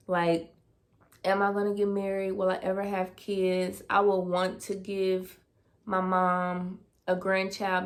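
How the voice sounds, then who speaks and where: A woman, casually answering personal questions on her vlog, thinking aloud with fillers. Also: medium pitch. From Dayton, Ohio, US. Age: 20s. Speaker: vlogger. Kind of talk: casual Q&A tag video.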